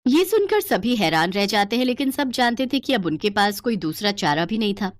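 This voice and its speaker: A woman's voice: mid-range voice, sing-song, normal speed, dry recording. A woman storyteller giving dramatic scripted narration for a cartoon tale.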